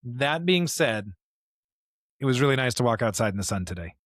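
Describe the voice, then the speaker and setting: A man's voice: medium pitch, animated, moderate pace, no echo. Earnest American man, close dry sound. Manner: earnest, reflective, preacherly with rhetorical questions. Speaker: podcast co-host. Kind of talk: conversational discussion of scripture.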